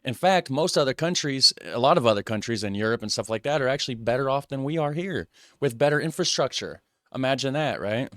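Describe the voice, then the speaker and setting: A man's voice: mid-range voice, expressive, brisk, a bit bright. An American man hosting a reaction video, chatting casually and thinking aloud as he reacts to clips. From United States. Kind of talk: casual commentary.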